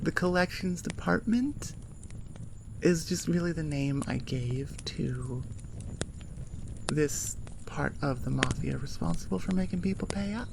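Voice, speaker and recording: Woman rambling to her listeners, slow n casual, bit of gravelly fry, noticeable background noise. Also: deep voice, animated. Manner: informal, self-deprecating, rambling, with swearing. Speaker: ASMR audio creator and host.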